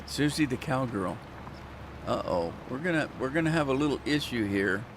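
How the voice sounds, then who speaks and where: A man chatting his way through a farming game, drifting between topics and tossing jokes at his viewers. His voice sits at an ordinary pitch for a man, with a faint hiss of noise behind it. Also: expressive, moderate pace. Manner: rambling, chatty, joking asides to viewers. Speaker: gaming channel host. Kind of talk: casual gameplay commentary.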